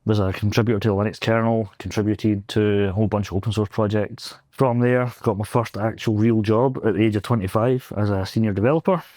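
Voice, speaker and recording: Young scottish guy w/ glaswegian accent, lowish voice, rambly and laughing a lot, dry close sound. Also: fairly even intonation, brisk, few pauses, warm tone, no room sound. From Scotland. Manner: rambling, self-deprecating, laughs often. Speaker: pentest engineer guest. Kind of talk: casual interview chat. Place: convention floor.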